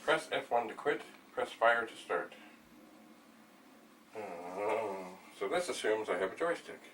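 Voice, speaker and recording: An older man hosting a vlog, thinking aloud in a rambling, halting way as he narrates a hands-on demonstration. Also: lowish voice, animated, a bit bright. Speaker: vlog host, retro computer hobbyist. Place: small cluttered indoor room at home. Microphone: camera mic a few steps away. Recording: distant mic, noisy room.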